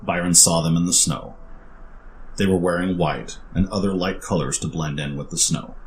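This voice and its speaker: A man's voice: deep voice, steady tone, normal speed, a little hiss or hum. A man narrating a scripted fantasy story as its storyteller.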